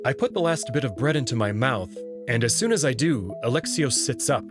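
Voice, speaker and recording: Expressive male story narrator, lively intonation. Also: mid-range voice, brisk, over music. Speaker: narrator and player reader. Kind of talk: expressive first-person story narration with dialogue.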